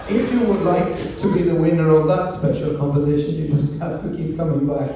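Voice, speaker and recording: A ship's captain, a man from Sweden with a Swedish accent, giving a slow, joking speech at a ceremony on a cruise ship. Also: fairly high pitch. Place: event room on a cruise ship. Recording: echoey room, distant mic, faint noise.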